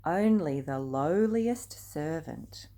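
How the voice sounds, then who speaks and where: An older woman reading a picture book aloud expressively and deliberately, speaking directly to young listeners. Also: deep voice, lively intonation, dark tone. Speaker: storyteller.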